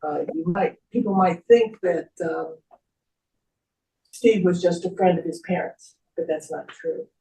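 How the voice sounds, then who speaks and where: Older lady giving an emotional eulogy, mellow and a bit high, roomy far-off mic. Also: unhurried, creaky. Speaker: memorial speaker, friend of the deceased. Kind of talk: personal eulogy. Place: echoey indoor memorial gathering. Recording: echoey room, distant microphone.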